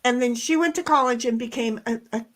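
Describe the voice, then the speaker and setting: A middle-aged woman with a loud voice and a fairly high pitch tells a story in a casual chat. Her manner is blunt and animated, with swearing mixed in. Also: brisk. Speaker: co-host.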